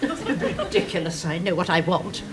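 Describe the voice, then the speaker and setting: A woman's voice: lowish voice, sing-song, measured pace, noisy background. An actress playing a haughty married woman who speaks in a condescending, imperious way.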